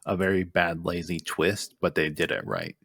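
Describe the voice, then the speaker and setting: A man's voice: deep voice, big pitch swings, normal speed, gravelly, fairly bright tone, husky, dry recording, clean studio sound. A man co-hosting a casual group discussion. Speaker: podcast co-host.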